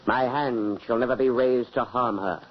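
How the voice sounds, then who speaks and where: A man's voice: mid-range voice, steady tone, natural voice. A man doing a cruel mocking spirit voice. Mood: solemn. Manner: slow solemn oath, then cackling taunts. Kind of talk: 1940s radio acting. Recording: dull old radio recording.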